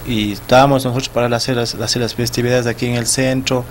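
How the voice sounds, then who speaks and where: A young man with an Ecuadorian Spanish accent answering questions in a small TV studio, talking haltingly with many restarts and repeated words. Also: mid-range voice, flat delivery, a little hiss or hum. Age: young adult. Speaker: festival sponsor guest. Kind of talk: studio interview. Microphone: desk mic on stand.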